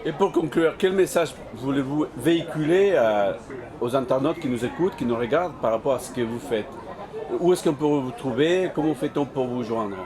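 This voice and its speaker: A man's voice: medium pitch, normal speed, a crowd in the background. A man working as a local web TV interviewer, asking questions on location at a community fair. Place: community associations forum in a sports hall. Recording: music playing behind, busy event. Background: music.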